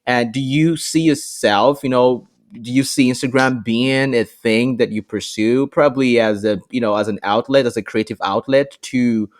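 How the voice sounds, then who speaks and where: A man's voice: medium pitch, steady tone, moderate pace. A man hosting a podcast, asking questions in an encouraging manner and leaning on "you know" as a filler. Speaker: podcast host. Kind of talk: casual interview chat.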